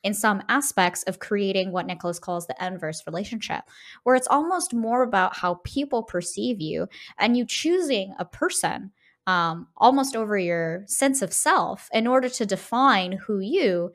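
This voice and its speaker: A woman's voice: mid-range voice, expressive, moderate pace. A woman co-hosting a podcast in an analytical, conversational way, often tagging her points with a questioning "right?". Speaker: podcast co-host. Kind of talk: conversational game discussion.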